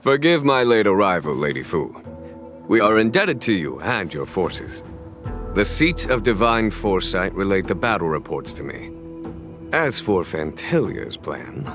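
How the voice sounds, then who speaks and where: A stately general from a fantasy game, with a very deep voice. He speaks slowly and formally, composed and eloquent, over clear background music. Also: very animated. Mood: courteous. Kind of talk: dramatic scripted game dialogue.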